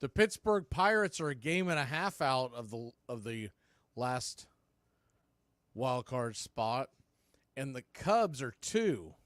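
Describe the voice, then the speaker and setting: A man's voice: medium pitch, big pitch swings, takes it slowly, a bit bright, no room sound, clean studio sound. A man working as a baseball announcer, giving live play-by-play commentary mixed with score updates in clipped phrases.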